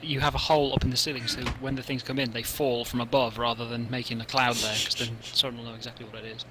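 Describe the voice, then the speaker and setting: A man chatting casually while playing, tossing out quick ideas. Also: mid-range voice, level tone. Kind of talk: casual chat. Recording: noisy voice chat with clicks.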